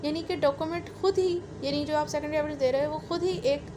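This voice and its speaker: A woman's voice: high voice, fairly even intonation, quick pace. A Pakistani woman giving an explanatory law lecture, repeating and rephrasing points in a didactic way, switching into English terms. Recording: clearly noisy recording with ambient sound.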